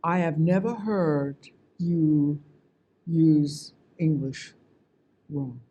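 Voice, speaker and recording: A woman's voice: low voice, drawn-out words, mellow, highs cut off, a little hiss or hum. A woman hosting an interview show who asks questions and repeats words for emphasis. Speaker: talk show host. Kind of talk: interview conversation.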